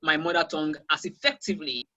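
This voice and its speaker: Bright, emphatic Nigerian-accented teacher. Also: medium pitch, fast talker, lo-fi sound. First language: Yoruba. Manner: emphatic, repeats key phrases. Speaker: guest teacher of English. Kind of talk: candid interview answers.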